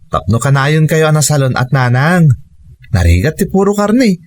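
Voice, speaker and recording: A man with a Filipino accent playing a dutiful farmer son who gently scolds his mother in a radio drama. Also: on the high side, sing-song, moderate pace, no echo. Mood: pleading. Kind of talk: Ilocano radio drama acting.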